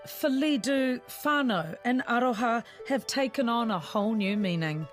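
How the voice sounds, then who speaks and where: A woman reporter delivers scripted news narration with an even, clear delivery. Her voice sits a little high. A music bed plays clearly beneath her. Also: moderate pace. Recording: music bed under voice-over.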